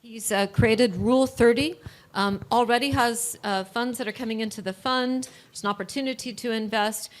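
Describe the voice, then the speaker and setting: A woman's voice: fairly high pitch, moderate pace. A woman hosting an event, introducing the next speaker with a hesitant, filler-laden delivery. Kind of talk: stage introduction.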